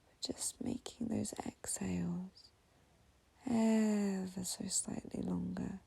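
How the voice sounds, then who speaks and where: A woman whispering a slow, soothing guided meditation as its teacher. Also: mid-range voice, fairly even intonation, creaky, warm tone, slightly rough.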